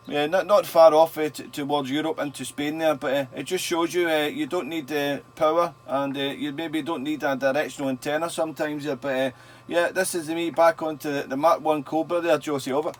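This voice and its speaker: A man's voice: on the high side, fairly even intonation, normal speed. A man chatting casually as a radio operator, often repeating his phrases for emphasis. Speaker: radio operator and YouTube channel host. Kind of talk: casual ham radio chat.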